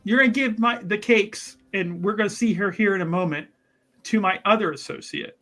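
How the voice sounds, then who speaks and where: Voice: medium pitch, brisk, fairly bright tone, natural voice. A tabletop player voicing a scheming, influential fixer with hesitant, repetitive, reassuring patter. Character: scheming, persuasive, influential fixer talking an accomplice round. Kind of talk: improvised in-character tabletop roleplay. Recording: music under the voice.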